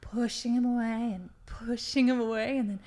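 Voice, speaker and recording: Lady doing a high voiced fake-sweet crime boss's deputy, smug and manipulative, radio play. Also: steady tone, normal speed, mellow, lightly acted. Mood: smug, scornful. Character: gleefully manipulative female crime lieutenant posing as sweet innocent. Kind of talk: improvised radio drama acting.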